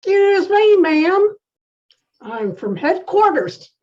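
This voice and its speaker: A woman's voice: very high voice, big pitch swings, slow, warm tone, lo-fi sound, heavily acted. A woman playing a brusque, suspicious police detective in old-time radio style, giving tough, colloquial orders. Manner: clipped commands, tough-cop colloquial talk.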